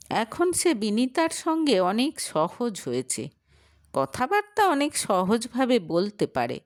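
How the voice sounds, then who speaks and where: Lady telling an audio story, takes it slow, really close dry sound. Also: on the high side, no room sound. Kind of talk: scripted dramatic storytelling narration.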